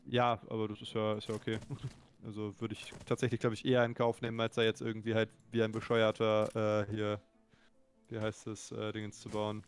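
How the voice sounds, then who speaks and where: A man's voice: fairly low pitch, completely flat delivery, a little fast, soft background music. A man chatting casually over a shared strategy game, exasperated and swearing when his ships get hit. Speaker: let's play co-host. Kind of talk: casual gameplay chat.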